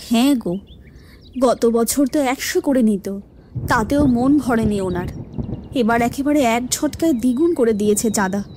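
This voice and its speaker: A woman's voice: a little high, moderate pace, dark tone, slight background noise, natural voice. A woman playing a worried, timid village wife in a cartoon, plaintive and confiding. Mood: complaining. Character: worried, timid village wife gossiping about an extortionist headman. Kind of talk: Bengali cartoon story dialogue.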